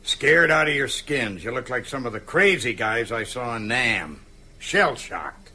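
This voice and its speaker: An actor playing a gruff, sceptical small-town constable with a sardonic, needling manner in a radio drama. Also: male voice, medium pitch, animated, a little slow, bright, forceful tone, exaggerated voice. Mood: weary irritation. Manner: sardonic, needling, folksy interrogation.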